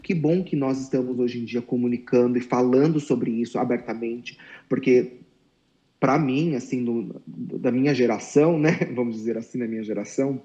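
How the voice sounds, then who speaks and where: Earnest thirties man, mellow tone, reflective and halting, echoey room. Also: medium pitch, lo-fi sound. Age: 30s. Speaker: guest, former client. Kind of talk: candid interview talk. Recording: roomy echo.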